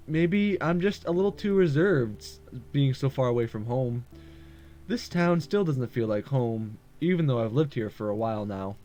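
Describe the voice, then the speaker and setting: A man's voice: mid-range voice, a little mellow, close to an everyday voice. A man voicing a lonely, homesick university student in introspective, polite visual novel narration. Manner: introspective first-person narration, polite and subdued. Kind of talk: visual novel read-aloud. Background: music.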